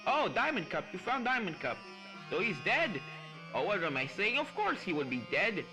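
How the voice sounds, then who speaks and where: A narrator voicing a calm, helpful male pony professor who gives chatty, encouraging instructions in a fan fiction story. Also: mid-range voice, moderate pace, over music, natural voice. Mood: musing.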